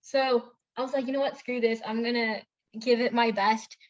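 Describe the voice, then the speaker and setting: Young woman co-host from India, bright higher voice, rambling casual storyteller, lo-fi recording. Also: steady tone, normal speed. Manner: chatty storytelling with many fillers and self-corrections. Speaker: podcast co-host. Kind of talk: casual chat.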